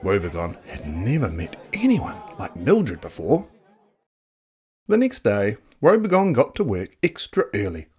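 A narrator reading a children's book aloud in a dramatic, playful, expressive style. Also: mid-range voice, unhurried, slightly rough, a faint crowd. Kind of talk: expressive storybook read-aloud.

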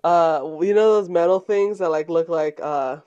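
A young woman co-hosts a laid-back podcast, talking in a playful, teasing way. She giggles often and trades quick banter with her co-host. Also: fairly low pitch, warm tone, clean tone, muffled recording. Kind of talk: casual chat.

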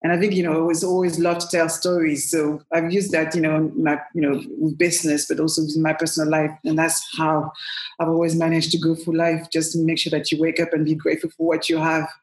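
A woman's voice: low-pitched, flat delivery, fast talker, a little mellow, echoey room, low-quality recording. A woman with a French accent, a brand strategist guest, answering interview questions in a storytelling way with many "you know" fillers. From France.

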